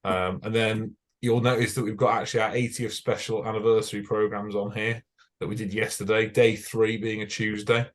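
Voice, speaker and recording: A man's voice: lowish voice, flat delivery, moderate pace. A young British man giving an informal technical talk to a radio club as a broadcast engineer, chatty and self-correcting with many fillers. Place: club meeting room.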